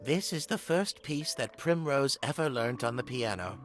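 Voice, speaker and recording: A man acting as a genteel, sentimental older gentleman in a mystery game. His voice is mid-pitched and very expressive in its rise and fall. His speech is formal, with faint music behind. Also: normal speed, close to an everyday voice. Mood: fond, wistful.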